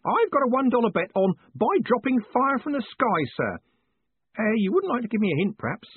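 Cackling, high-pitched sly old man. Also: very animated, fairly quick, no room sound, narrow, tinny audio, heavily acted. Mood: wheedling, eager. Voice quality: cackling, husky. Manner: wheedling, deferential. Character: sly, cackling, defiant old male postal worker.

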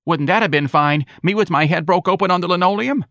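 Man as a high, fretful New mother. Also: fairly quick, fairly bright tone, no room sound, studio quality. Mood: grieving, aggrieved. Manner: whining, aggrieved. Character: Irene Riley, plaintive, fretful aging Southern mother. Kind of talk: audiobook character dialogue.

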